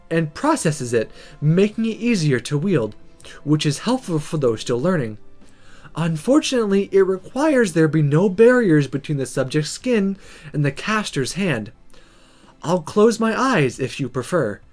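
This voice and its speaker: A man acts out a sultry woman who teaches magic in a visual novel. The voice is soft and composed, gently instructing with calm authority, over faint music. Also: fairly high pitch, unhurried. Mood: calm, instructive.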